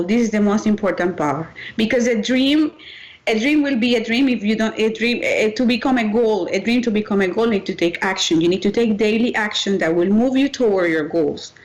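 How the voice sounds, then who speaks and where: A woman's voice: medium pitch, rough recording. A woman from Cuba, a personal development coach, presenting in an earnest way and restarting her phrases often. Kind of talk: webinar presentation.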